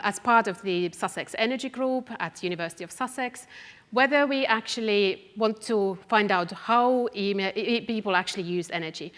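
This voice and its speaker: A long hall reverb surrounds a woman with a Finnish accent as she delivers a public talk. She is a researcher who speaks deliberately and directly to her listeners, leaning on key phrases. Her pitch sits in an average range for a woman. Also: a bit bright. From Finland. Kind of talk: public talk to a live audience. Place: event hall, live talk.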